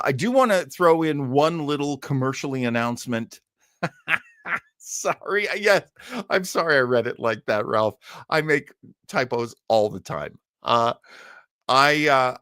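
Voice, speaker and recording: A man hosting a livestream, chatting casually to viewers in a halting, enthusiastic way. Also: mid-range voice, fairly bright tone, dry recording, studio quality. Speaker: livestream host. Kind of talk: casual live chat to viewers.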